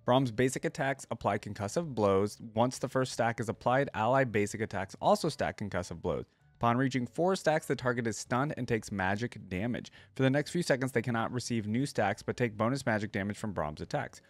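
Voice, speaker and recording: A man's voice: mid-range voice, animated, a little fast, no echo. Casual male reactor, dry close mic. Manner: informal, easily impressed. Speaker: reaction video host. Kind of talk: casual commentary, reading out and reacting.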